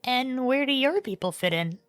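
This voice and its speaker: A young queen in a cartoon fan dub, played by a woman, with a fairly high voice in a dry recording. She is earnest and polite, dutiful yet slightly hesitant. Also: expressive, moderate pace, no room sound, faint music behind. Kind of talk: animated fan dub acting.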